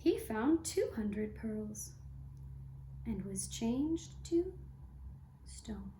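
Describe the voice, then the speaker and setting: A woman tells a fairy tale as a puppet show narrator. Her voice is high and her delivery slow and measured, pausing between phrases. The room adds a noticeable echo. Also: very animated, mellow, a little hiss or hum. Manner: measured, with long phrase breaks.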